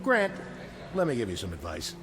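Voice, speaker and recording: An actor playing a tough, streetwise death row convict, blunt and colloquial, in mid-century television drama style. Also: male voice, fairly low pitch, wildly swooping pitch, normal speed, noisy background. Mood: irritated, blunt. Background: dramatic music.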